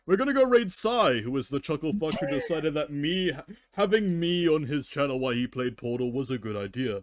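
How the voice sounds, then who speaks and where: A man's voice: on the high side, expressive, a little fast. A man who streams, reading fiction dramatically and bantering casually with teasing, foul-mouthed talk.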